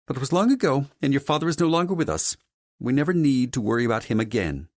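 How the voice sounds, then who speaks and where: A man's voice: animated, fast, dry recording, clean studio sound, lightly acted. A man voicing a haughty, domineering wealthy mother in an audiobook, with a grand, condescending manner. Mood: brisk, composed. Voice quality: deep female voice. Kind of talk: audiobook character dialogue.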